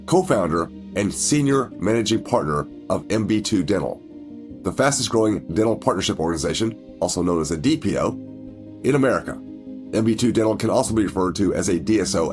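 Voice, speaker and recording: A man hosting a podcast, his voice raspy and deeper than usual from a head cold, talking in an easy, measured way. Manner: conversational, measured pace with drawn-out phrases. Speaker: podcast host. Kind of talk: podcast intro and interview.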